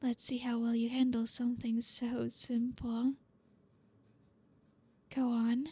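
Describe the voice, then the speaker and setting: Slow, breathy, teasing female boss, acted by a woman. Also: fairly high pitch, completely flat delivery, heavily acted. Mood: softly commanding. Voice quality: breathy, soft. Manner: slow, deliberate, teasing, softly commanding with breathy hushed phrases. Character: dominant, teasing, flirty female boss, coolly commanding. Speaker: ASMR roleplay performer. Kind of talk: ASMR roleplay, close-mic soft speech. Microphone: close microphone, dry.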